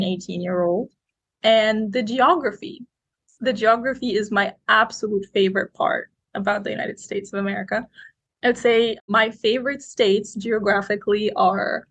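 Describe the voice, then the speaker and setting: A woman in her twenties, raised in Egypt, answering interview questions in a talkative, outgoing, expressive way. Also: mid-range voice. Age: 20s. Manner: expressive, quotes people in lively voices. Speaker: guest.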